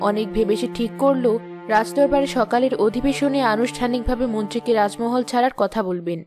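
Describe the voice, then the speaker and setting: A woman reading scripted narration for an animated fairy tale. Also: fairly high pitch, a little fast, music in the background.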